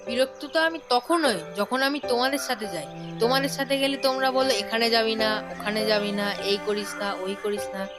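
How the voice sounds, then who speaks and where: Childlike voice acted for whiny schoolboy. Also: female voice, fairly high pitch, moderate pace, music in the background, lightly acted. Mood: whining. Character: whiny, eager young Bengali schoolboy. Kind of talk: audio story drama acting.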